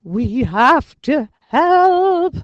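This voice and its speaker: Woman doing a slow, moaning little ghost. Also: high voice, dry recording, muffled recording, pitched above the performer's own voice. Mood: anxious.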